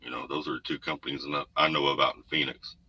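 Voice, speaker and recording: A man's voice: flat delivery, fast talker, pushed and bright, husky, dry recording, lo-fi sound. A man, hosting a casual livestream from home and chatting through viewer comments in a laid-back, rambling way. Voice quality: deep voice. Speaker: trucker livestream host. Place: home room. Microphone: desk USB condenser mic. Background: noise.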